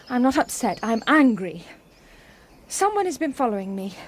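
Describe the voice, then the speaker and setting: A spirited young music teacher. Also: female voice, on the high side, big pitch swings, slow pace, a little hiss or hum. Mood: indignant. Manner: crisp, determined, spirited. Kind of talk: radio drama acting.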